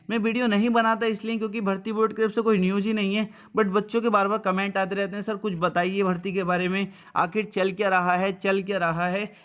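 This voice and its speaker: A man's voice: sits high, a bit bright. A man giving an informal update to students as a coaching teacher, blunt and colloquial, often checking they follow with short tags.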